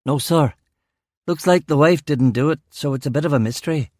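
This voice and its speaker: A man's voice: on the high side, brisk, darkish tone, no echo, studio quality, close to an everyday voice. A man voicing a rattled police detective in an audiobook, defensive and deferential as he answers his superiors. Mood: deferential, factual. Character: male detective narrator speaking, rattled, sweary, deferential to superiors. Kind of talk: audiobook character dialogue.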